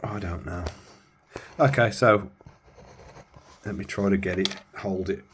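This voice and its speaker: Low-voiced man rambling over background noise. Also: measured pace. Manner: rambling, thinking aloud. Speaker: radio hobbyist presenter. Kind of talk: casual hands-on gear demonstration.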